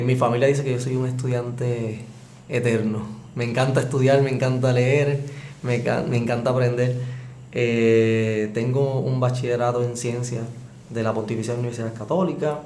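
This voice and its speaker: Casting: Puerto Rican man, formal guest, mid-pitched, echoey room. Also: a little mellow. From Puerto Rico. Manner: formal, fills with "¿verdad?". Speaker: epidemiologist guest. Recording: echoey room, faint noise.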